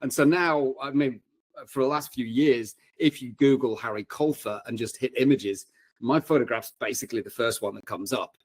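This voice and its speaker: A man's voice: medium pitch, moderate pace, breathy edge, no echo. A man talking casually as an interview guest, telling rambling, wry anecdotes and often breaking off mid-sentence. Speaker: podcast guest, paramedic and fiction writer.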